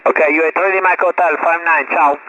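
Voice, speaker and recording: Loud noise surrounds a dull, lo-fi radio signal carrying a fairly high-voiced man. He works as a radio operator, calling phonetic callsigns in a brisk, clipped and repetitive way. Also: fairly even intonation. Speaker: amateur radio operator running contacts. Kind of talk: rapid procedural radio exchanges with phonetic callsigns.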